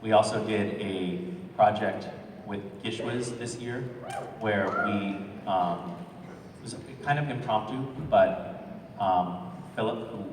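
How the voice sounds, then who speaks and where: A man's voice: on the low side, a little mellow, slight background noise. A man answering an audience question as a convention panel guest, earnest and reflective with a self-deprecating humor. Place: large convention hall. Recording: echoey hall from far off.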